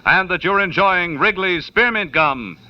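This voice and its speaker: A man's voice: high voice, lively intonation, measured pace. An American man working as a 1950s radio announcer, reading scripted copy in a polished, emphatic, salesmanlike way. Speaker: radio announcer and sponsor pitchman. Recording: muffled 1950 radio broadcast.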